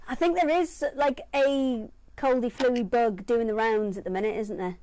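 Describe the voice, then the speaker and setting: High-voiced chatty woman host. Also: very animated, takes it slowly, rough recording. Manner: chatty, laughs often, warm-hearted teasing. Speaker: host of a live sewing stream. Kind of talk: casual chat, live stream.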